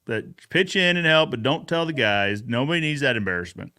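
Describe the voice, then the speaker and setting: A man hosting a casual recap chat, retelling events in an animated way and acting out bits of dialogue. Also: mid-range voice, brisk, clear, resonant tone. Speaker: show host.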